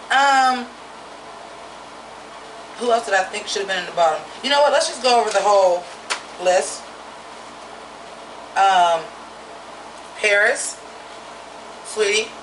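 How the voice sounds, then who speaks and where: A woman with a naturally deep voice giving a blunt, profane, opinionated drag review in a casual vlog. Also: expressive, moderate pace, long pauses, reverberant, distant mic, noisy background.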